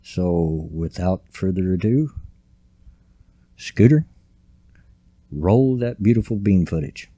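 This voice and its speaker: An older man speaks in a very low, dark, mellow voice, folksy and rambling. The recording is dry and close, with faint music underneath. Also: animated, takes it slowly, long pauses, no room sound. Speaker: vlogger. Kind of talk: casual grumpy commentary. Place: home at night, quiet room.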